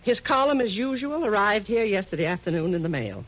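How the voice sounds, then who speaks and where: A woman's voice: mid-range voice, big pitch swings, fairly quick, poor audio. An actress playing an imperious older American businesswoman, curt and commanding, in 1940s radio drama style. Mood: brusque, businesslike. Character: bossy, brusque older female publishing tycoon. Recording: old radio drama recording.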